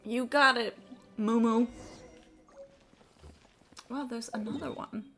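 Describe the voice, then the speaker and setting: A woman's voice: fairly high pitch, fairly even intonation, slow, creaky, over music. A woman livestreaming a game with casual, sweary, self-deprecating commentary, thinking aloud. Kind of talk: casual live gaming commentary.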